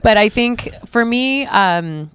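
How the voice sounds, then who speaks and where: A woman's voice: a little high, expressive, takes it slowly, a bit bright, clear, resonant tone, no echo, clean studio sound. A woman in her late thirties, a stand-up comic, talking candidly and at length in a casual podcast chat. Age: 30s. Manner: candid, rambling, self-reflective. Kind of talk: casual conversation.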